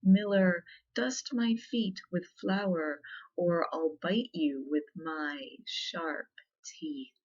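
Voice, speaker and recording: A woman voicing a sly, hungry old male wolf in a slow, coaxing ASMR storybook reading. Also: lowish voice, fairly even intonation, highs cut off. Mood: threatening.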